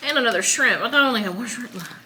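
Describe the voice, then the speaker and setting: A young woman trades dry, teasing remarks over a seafood meal. Her voice is bright and lively in pitch. A light room hum is heard behind her. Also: very fast. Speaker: co-host, wife. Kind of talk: casual mukbang chat.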